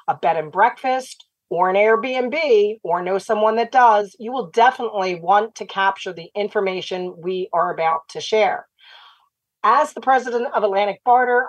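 Slightly raspy woman hosting radio. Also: mid-range voice, no echo, poor audio. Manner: upbeat, steady and talkative. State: slightly raspy after laryngitis. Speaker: radio show host. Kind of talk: radio talk show hosting.

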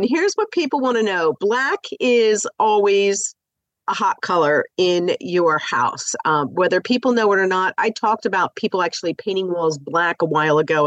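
A woman's voice: mid-range voice, no echo. A woman hosting a live radio show, sounding stuffy from the flu, talking in an upbeat, chatty way. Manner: bubbly, upbeat, chatty with fillers. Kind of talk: chatty live radio talk on home improvement. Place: radio studio.

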